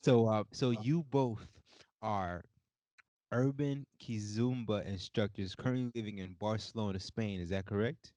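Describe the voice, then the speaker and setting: A man's voice: fairly low pitch, a little slow, warm tone, no room sound. A man hosting a podcast interview in a casual, upbeat, informal way.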